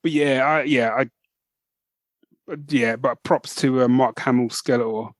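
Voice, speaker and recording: A man's voice: mid-range voice, measured pace, no echo, studio quality. A man co-hosting a podcast, chatting casually and acting out scenes with lots of laughter. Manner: animated, laughs often, acts out scenes in quoted voices. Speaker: podcast co-host. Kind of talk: casual chat.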